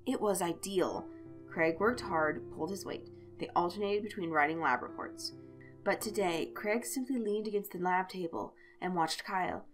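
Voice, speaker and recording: Expressive woman narrator over music. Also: lowish voice. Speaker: fan fiction narrator. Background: background music.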